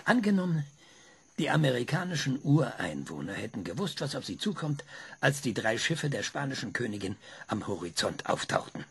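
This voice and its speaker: An actor playing a sardonic former researcher in a radio play, lecturing with impatient insistence. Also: male voice, medium pitch, very animated, moderate pace, gravelly, fairly bright tone, slightly rough, exaggerated voice. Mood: insistent. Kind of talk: German radio play acting.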